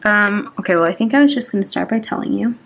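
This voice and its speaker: A woman's voice: mid-range voice, fast, vocal fry, fairly bright tone, slight background noise. A young woman in her twenties chatting while she decorates a planner, rambling and self-conscious, with lots of ums and restarts. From Atlanta, Georgia, US. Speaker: planner vlogger.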